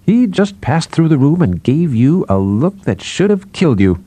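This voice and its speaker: Guy putting on audiobook psychologist, chatty and a bit impatient, mellow but very animated. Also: mid-range voice, fairly quick, dry recording, voice pushed up. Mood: teasing.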